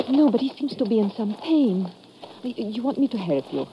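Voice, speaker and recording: A woman's voice: a little high, expressive, normal speed. A woman playing a polite, earnest, helpful stranger in a 1950s radio drama. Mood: concerned, polite. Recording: dull, noisy old radio recording.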